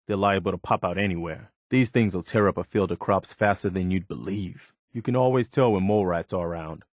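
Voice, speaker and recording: An actor playing an earnest, loyal, plain-spoken militia man in a video game, sincere and measured. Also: low-pitched, no echo, clean studio sound, lightly acted. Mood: wary, wry. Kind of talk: naturalistic video game dialogue.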